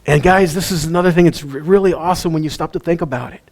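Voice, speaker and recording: A man with a slightly high voice teaches scripture in a relaxed, conversational way. He keeps firing rhetorical questions at his listeners and drops in the odd joke. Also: fast talker. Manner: animated, asks rhetorical questions, jokes with listeners. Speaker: teacher of a weekly Torah portion. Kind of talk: informal Bible teaching.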